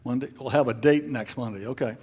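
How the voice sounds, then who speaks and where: Guy running a club meeting, mid-pitched voice, going through announcements off the cuff, kinda halting. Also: a little mellow, husky. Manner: halting, hesitant, unscripted delivery. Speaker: club officer leading a meeting. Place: club meeting room with an audience.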